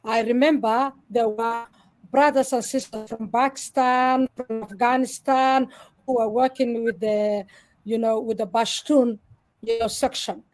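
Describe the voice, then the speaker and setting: High-voiced woman on a video call. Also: steady tone, a little slow, a little mellow, faint music behind, low-quality recording. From Somalia. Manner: earnest, explains patiently with many "you know" fillers. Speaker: interview guest. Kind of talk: live online interview.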